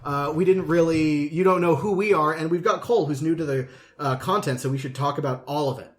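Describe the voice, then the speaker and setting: Man, fairly high voice, casual podcast co-host, jokey, sweary, chatty. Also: fairly quick. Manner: jokey, sweary, laughs often. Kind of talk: casual chat. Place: indoors in a dining room at home.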